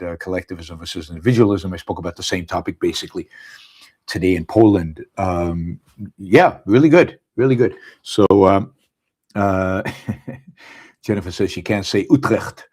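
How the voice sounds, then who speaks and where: Halting Israeli-accented man hosting live. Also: fairly low pitch, a little mellow. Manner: halting, many fillers and restarts. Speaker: podcast host. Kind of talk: live casual monologue. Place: abroad, speaking on a live stream.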